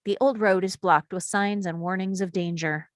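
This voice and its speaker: A woman narrates scripted screen action at a table read. Her voice sits at a middle pitch. The sound is dry and close, with very little room in it. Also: steady tone, normal speed, no echo. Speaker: narrator reading stage directions.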